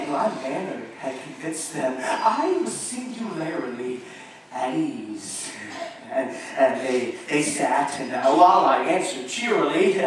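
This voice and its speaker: A man's voice: on the high side, pitched below the performer's own voice. A man performing a feverish, guilt-tormented murderer confessing, with stammering theatrical delivery, in a live gothic horror stage reading. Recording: distant, very echoey theater, faint noise.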